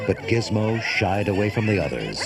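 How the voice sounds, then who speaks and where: A man narrates a children's story with dramatic flair and a hugely varied, fairly low voice. Loud music plays behind him on a cassette transfer. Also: very animated, moderate pace, vocal fry.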